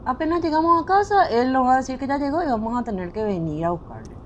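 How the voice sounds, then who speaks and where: A woman playing a nervous, superstitious young university student in an audio drama, with a Paraguayan accent and a fretful, expressive delivery. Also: high voice, quick pace, muffled recording, slight background noise. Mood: impatient.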